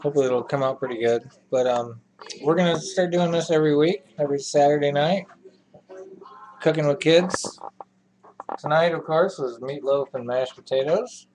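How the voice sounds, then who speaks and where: A man hosting a casual home cooking show with his kids, filmed in a kitchen on the camera microphone, chatty and giving quick instructions. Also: on the high side. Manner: relaxed, chatty, gives quick instructions to children. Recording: noisy home video.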